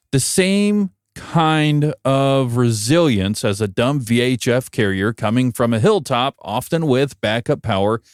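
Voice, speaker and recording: American man, podcast host, conversational commentary, wry emphasis, deliberate pauses, average pitch, dry close recording. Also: clean tone, no echo. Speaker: podcast host and broadcast engineer.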